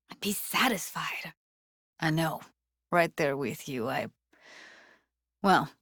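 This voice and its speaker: A young, tech-savvy woman from a video game, speaks in a lowish voice with a dry, close studio sound. She is blunt and guarded but tender underneath. Her phrasing is casual, slangy and clipped, delivered naturally. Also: no room sound. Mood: subdued, hurt.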